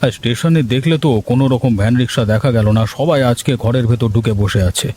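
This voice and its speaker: A man voicing an excitable, easily frightened village husband in a cartoon, fussy and hurried. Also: mid-range voice, a little hiss or hum, lightly acted. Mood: defensive. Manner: fussy, hurried, stammers when scared. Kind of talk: cartoon voice acting.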